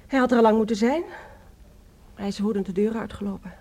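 A woman as a husky, worried mother. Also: medium pitch, steady tone, fairly quick, warm tone, close to an everyday voice. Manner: polite, earnest. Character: worried mother, a married housewife. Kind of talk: radio drama acting.

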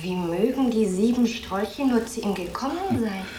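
A woman playing a gentle, wide-eyed young princess in a children's radio drama. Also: mid-range voice, moderate pace, close to an everyday voice. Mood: curious. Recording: roomy echo, faint noise.

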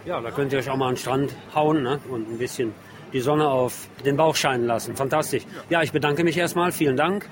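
A man's voice: mid-range voice, fairly quick. A man hosting a casual interview in a jovial, joking manner with effusive thanks. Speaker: interviewer, host. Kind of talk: casual outdoor interview chat. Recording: outdoors amid crowd noise.

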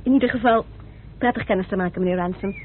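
An actress playing a polite, curious politician's wife in a radio play, with an expressive, questioning delivery. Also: female voice, mid-range voice, fast talker, lightly acted. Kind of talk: Dutch radio drama acting. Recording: tinny old radio recording, faint noise.

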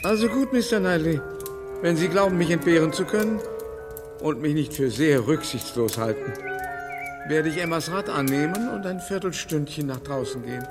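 A man's voice: on the high side, moderate pace, natural voice. An actor playing a fussy, anxious elderly father in a radio play, speaking in a hesitant, fretful way. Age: older. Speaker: Mister Woodhouse. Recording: music under voice.

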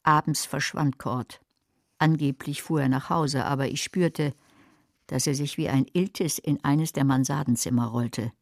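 Sarcastic woman, deep husky voice, tells the story in a dry blunt way. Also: fairly even intonation, measured pace, exaggerated voice. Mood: narrating. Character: sharp-tongued woman caring for a sick man. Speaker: narrator and main character Carla. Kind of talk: radio drama acting with narration.

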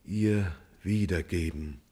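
An actor playing a grief-stricken messenger in a classical verse tragedy for radio, declaiming solemnly in standard German stage diction with drawn-out dramatic phrasing. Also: male voice, low-pitched, mellow, husky, full character voice. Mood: mournful, dying plea. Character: grief-stricken male messenger, loyal friend reporting a hero's death.

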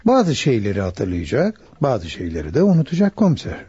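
A man acting a calm, knowing doctor who explains things in a measured way in a radio play. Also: medium pitch, husky, dry recording, highs cut off, heavily acted. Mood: calm, explanatory. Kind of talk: Turkish radio theatre acting.

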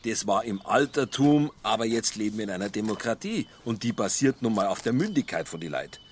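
An actor playing a reluctant, pragmatic Bavarian village priest in a radio crime drama, dry and dismissive in manner. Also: male voice, fairly low pitch, big pitch swings, brisk, a little hiss or hum. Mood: weary, dismissive.

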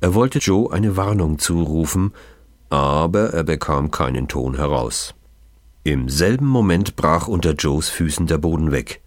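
A man's voice: a bass voice, a little slow, no room sound, studio quality. A man reading a children's novel aloud as dramatic storybook narration. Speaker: audiobook reader.

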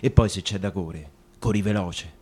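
A working-class Roman in a radio drama. His voice is fairly low, with a Roman accent, and he talks in a wry, practical way. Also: moderate pace, darkish tone, husky. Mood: wry, urgent.

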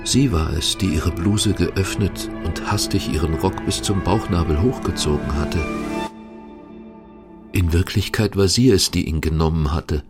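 Male narrator, very deep voice, scripted literary delivery, clear music bed. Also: measured pace. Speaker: second narrator.

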